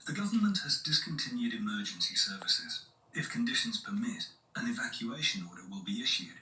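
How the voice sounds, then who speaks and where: Man acting as sharp, bright, solemn emergency announcer. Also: mid-range voice, big pitch swings, brisk. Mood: grave. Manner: solemn, formal. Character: grave male government emergency announcer addressing the nation. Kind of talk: scripted emergency broadcast. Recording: echoey, distant, faint hiss.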